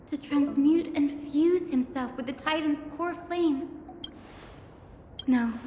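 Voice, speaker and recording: A woman voicing an earnest, sharp-minded young investigator in a video game, reasoning aloud in a deliberate, dramatic way. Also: high-pitched, a little hiss or hum. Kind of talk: scripted video game voice acting. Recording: echoey hall reverb under loud music.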